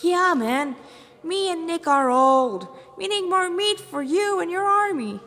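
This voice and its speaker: A woman voicing a brazen, laid-back older male Rasta hunter from a fan story, bargaining in slangy, drawn-out phrases. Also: very high voice, lively intonation, a bit bright, pitched above the performer's own voice, exaggerated voice. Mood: cool bargaining. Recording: reverberant echoey room.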